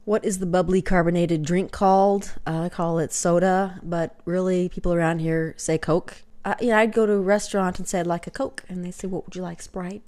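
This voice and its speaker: A woman's voice: fairly low pitch, steady tone, brisk, few pauses, mellow. Chatty woman with a light Texas accent. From Texas, USA. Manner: chatty, self-deprecating, filler-heavy, drops to whispers. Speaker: vlogger. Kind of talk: casual webcam vlog. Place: small home room with shelves, indoors. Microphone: webcam at desk.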